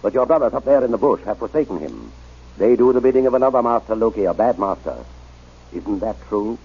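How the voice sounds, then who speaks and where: An actor playing a determined missionary reverend, coaxing and deliberate, in an old-time radio drama. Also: male voice, fairly low pitch, expressive, husky. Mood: coaxing, probing. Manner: deliberate, coaxing, emphatic delivery. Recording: muffled, dull old radio recording.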